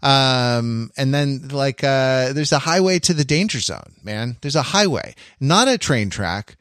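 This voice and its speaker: A man co-hosting a podcast, chatting casually about film in a wry, halting way. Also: medium pitch, animated, a bit bright, dry recording, studio quality. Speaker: podcast co-host.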